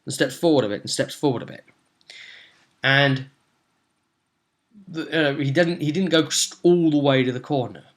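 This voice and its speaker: A young man casually recounting a tabletop game session as game master, rambling and halting as he goes. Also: medium pitch. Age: young adult. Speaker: tabletop role-play game master, vlogger. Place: small room at home, indoors.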